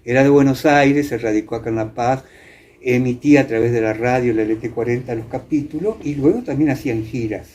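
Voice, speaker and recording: Older Argentine male, soft-edged dark timbre, halting conversational storyteller recalling the past, slight room noise. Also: mid-range voice. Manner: halting, repeats words and phrases. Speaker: interviewee, veteran radio drama actor. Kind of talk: casual reminiscing conversation.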